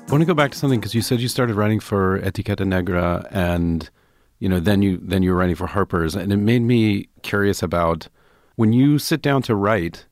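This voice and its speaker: A man's voice: lowish voice, fairly quick, hardly any pauses, dry recording, studio quality, soft background music. A man hosting an interview podcast, asking curious, rambling questions with restarts. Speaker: podcast host. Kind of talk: casual interview.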